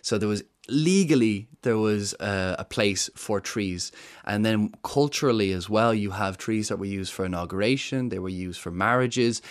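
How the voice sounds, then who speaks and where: An Irish man talks at length as a podcast guest, earnest and explanatory. His voice sits on the low side, and he often pauses on fillers and restarts mid-thought. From Ireland. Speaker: guest, woodland charity founder. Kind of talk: interview conversation.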